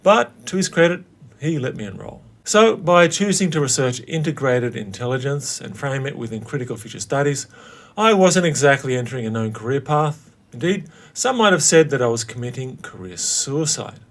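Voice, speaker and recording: A man in his late forties narrating his own story as a host, in a wry, theatrical way with dramatic pauses. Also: mid-range voice, expressive. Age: late 40s. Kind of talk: scripted personal narration.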